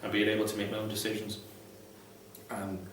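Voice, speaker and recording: A man's voice: lowish voice, monotone, fairly quick, fairly bright tone. A man giving casual, unscripted advice to viewers in a blunt, irreverent, sweary way. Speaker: vlogger, ex-Jehovah's Witness advice host. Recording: very echoey room, distant mic, faint noise.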